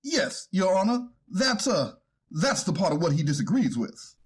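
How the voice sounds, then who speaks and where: A man's voice: high-pitched, sing-song, normal speed, slightly rough, heavily acted. A man playing a polite but argumentative defense attorney who explains and contests points in a readers theater courtroom drama. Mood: politely contesting.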